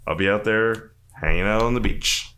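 A man with a low voice banters as a podcast co-host. His delivery is casual and joking, with loose, informal phrasing and free swearing. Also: normal speed, sharp tone, slight background noise.

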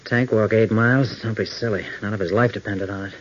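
A man's voice: fairly low pitch, fairly even intonation, fairly quick, natural voice. An American man playing an earnest, take-charge young pilot hero in a 1940s radio adventure serial. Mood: questioning. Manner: earnest, decisive. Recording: muffled vintage 1940s radio recording.

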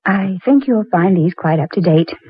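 An actress playing a prim, stern small-town librarian in a 1940s radio drama, formal and reproving, on a muffled vintage recording. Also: female voice, fairly low pitch, animated, normal speed, husky, no room sound. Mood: brisk, efficient.

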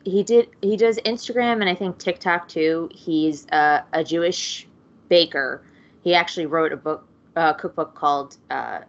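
A woman with a lowish voice talks in a rambling, casual way, full of fillers and side remarks. The recording sounds dull and lo-fi. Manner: rambling, lots of fillers and asides. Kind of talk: casual chat.

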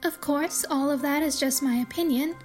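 A woman hosting a podcast, giving measured, articulate commentary on a fantasy book series in a scripted, explanatory style. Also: high-pitched, darkish tone, faint music behind. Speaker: podcast host.

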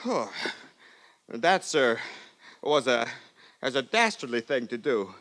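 An actor playing a cocky, scornful gambling rogue in a 1950s radio drama, bombastic and mocking in manner. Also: male voice, mid-range voice, very animated, slow, background noise. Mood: affronted threat.

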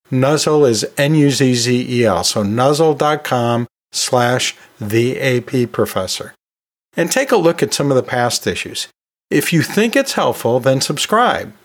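A man hosting an educational podcast solo, talking in a conversational, folksy way with joking asides. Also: mid-range voice. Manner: folksy, jokey asides with chuckles. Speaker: podcast host, anatomy and physiology teacher.

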